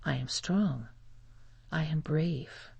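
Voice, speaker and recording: This is a narrator reading a first-person story aloud in a measured, careful way. The tone is dark and mellow, with a husky grain and a frequent creak at the edges of the words. The delivery is that of a scripted reader for learners. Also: mid-range voice, dry recording.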